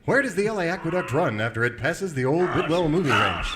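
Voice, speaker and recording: An actor playing a noble cowboy leader, speaking earnestly and declaiming in a parody of an old radio serial. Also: male voice, fairly high pitch, sing-song, fairly quick, in a large hall, heavy background noise. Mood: urgent, resolute.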